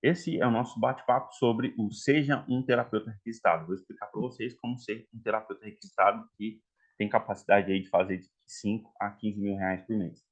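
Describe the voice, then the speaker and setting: A man's voice: lowish voice, steady tone, fairly quick. A man from Minas Gerais with a mineiro Portuguese accent, teaching a chatty live online class for therapists and checking in with the audience often. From Minas Gerais, Brazil. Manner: chatty, addresses audience as "galera", frequent "tá?" and "né?". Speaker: online course instructor.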